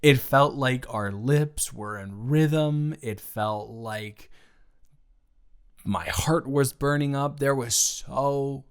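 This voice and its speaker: A man's voice: medium pitch, clean tone. Man playing a smitten, rambling young roommate. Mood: dreamy, smitten. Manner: halting, rambling, stammering, emphatic bursts. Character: smitten, awkward, playful young male roommate confessing love. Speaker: audio roleplay performer. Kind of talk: improvised romantic audio roleplay, intimate one-sided conversation.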